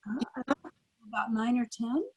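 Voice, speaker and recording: Husky older woman reminiscing. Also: fairly high pitch, steady tone, unhurried, warm tone. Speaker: panel guest, former child radio actress. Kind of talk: casual reminiscing on a panel.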